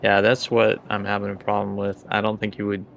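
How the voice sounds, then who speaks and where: A man's voice: on the low side, monotone, a little fast, gravelly, fairly bright tone, highs cut off, a little hiss or hum. A man running a tabletop game, improvising narration and rulings in a hesitant, think-aloud way. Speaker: game master.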